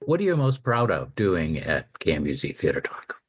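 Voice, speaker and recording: Casting: male radio co-host, low register, conversational interviewer, close and dry. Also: wildly swooping pitch, moderate pace, breathy edge, dry recording. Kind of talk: casual radio chat with announcements.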